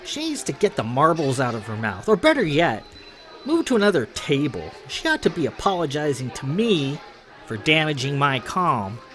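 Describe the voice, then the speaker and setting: A man putting on a loud, sneering young noblewoman. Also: mid-range voice, sing-song, moderate pace, soft background music. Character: brash loud young noblewoman, rude and bullying.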